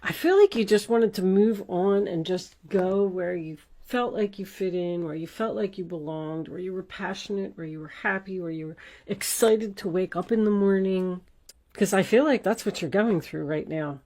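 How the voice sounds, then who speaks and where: A woman's voice: medium pitch, normal speed, warm tone. A woman reading tarot cards informally for the viewer, talking in a conversational, emphatic and reassuring way. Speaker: tarot reader, host of an online reading.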